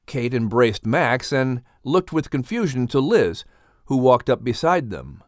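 A man's voice: mid-range voice, sing-song, unhurried, dry recording, studio quality. A man hosting and narrating a scripted animal story as a storyteller. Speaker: host and narrator.

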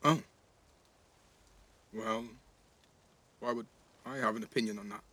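A man's voice: on the low side, fairly even intonation, a little slow, sharp tone. An actor playing a cheeky, blunt teenage boy with an English accent, teasing and slangy in a naturalistic audio drama. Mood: wry, probing.

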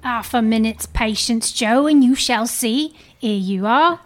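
A woman's voice: fairly high pitch, unhurried, natural voice. A woman playing a brazen, defiant Victorian thief in a live dramatic reading. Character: brazen, defiant Victorian thieving woman selling a dead man's goods.

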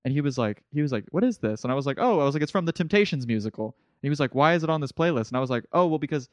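A man's voice: fairly high pitch, expressive, rapid-fire, no echo. A young man in his twenties chats on a podcast as a comedian guest. He tells eager, rambling stories full of restarts. The sound is dry and close. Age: 20s. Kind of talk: casual podcast chat.

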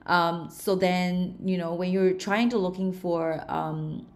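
Interview guest: young woman, Australian-tinged Chinese accent, fairly low voice, pauses to search for words. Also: steady tone. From China. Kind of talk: interview answers.

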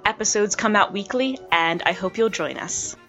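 A woman's voice: mid-range voice, moderate pace, a bit bright. A woman podcast host reading a scripted trailer to prospective listeners. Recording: slightly dull lo-fi audio. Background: background music.

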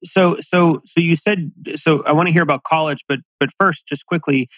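A man's voice: a little high, steady tone, moderate pace, fairly bright tone, no room sound. A man hosting a podcast interview, friendly and a little hesitant, often restarting his sentences. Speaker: podcast host.

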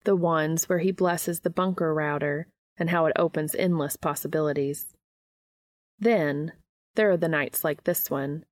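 A woman's voice: low voice, dry recording. A woman reading scripted fiction as a podcast host and narrator.

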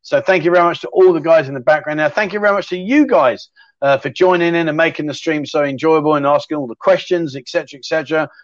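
Matey host, middle-aged, rambling chat, slightly raised pitch, dry close mic. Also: male voice, quick pace, dry recording, muffled recording. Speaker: livestream chat show host. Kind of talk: casual livestream chat reading viewer questions.

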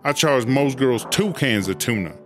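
Comedy audio drama: man voices cocky streetwise woman convict, medium pitch, slangy, loud music underneath. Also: lively intonation, moderate pace, lightly acted. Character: swaggering, streetwise female prison inmate landlady and rapper.

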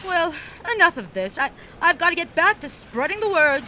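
A woman playing an effervescent young upper-crust socialite of the 1920s in an audio drama, genteel, wordy and halting. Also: very high-pitched, big pitch swings, sharp tone, a little hiss or hum, heavily acted. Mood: playful, warm. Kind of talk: period audio drama acting.

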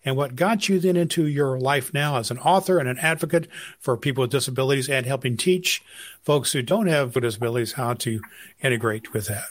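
A man hosts a podcast interview at a middle pitch. He talks haltingly, pausing and restarting as he searches for the right words. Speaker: podcast host.

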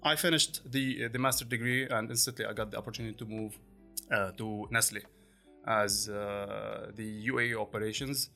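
A man in his forties, a podcast guest who speaks haltingly with many fillers and restarts. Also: on the low side, fairly even intonation, vocal fry, sharp tone, faint music behind. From Lebanon. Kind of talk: conversational interview.

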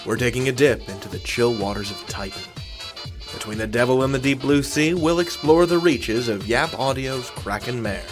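A man's voice: medium pitch, normal speed. A man presents an audio drama show in a mid-range voice. His scripted introduction is theatrical and suspenseful. Music sits faintly behind him. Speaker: audio drama podcast host.

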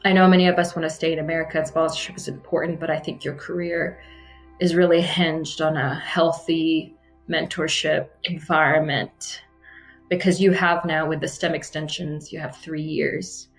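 A woman in her thirties, from Iraq and raised in Abu Dhabi, telling her career story in a measured, reflective way. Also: low-pitched, little pitch movement, soft background music, low-quality recording. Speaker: architect interviewee. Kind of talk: personal testimonial.

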